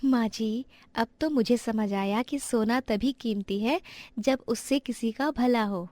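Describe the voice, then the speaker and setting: Earnest, gentle young daughter-in-law, played by a woman, very high pitch, dry recording, storybook drama. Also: takes it slowly, dry recording. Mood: gentle, sincere. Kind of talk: dramatized moral story acting.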